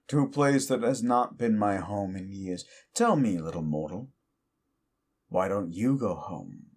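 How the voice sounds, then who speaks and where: Man voicing an ancient taunting demon, low voice, very slow drawl, petty, mocking. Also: a little mellow, exaggerated voice. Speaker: voice actor in audio roleplay. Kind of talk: audio roleplay acting.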